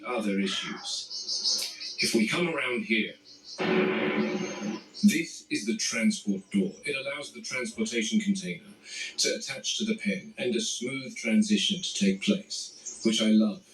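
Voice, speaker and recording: A man playing a grave, wary animal expert who narrates found footage in measured, articulate, formal phrases. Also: on the low side, close to an everyday voice. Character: male game warden and animal expert, grave, wary, exacting. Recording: echoey, noisy VHS-style found footage, distant.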